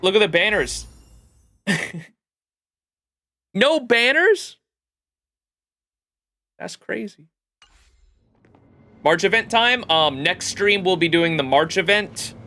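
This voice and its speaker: A man doing casual livestream commentary on a game, excitable and rambling as he chats. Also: high-pitched, takes it slowly, pauses often. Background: game music.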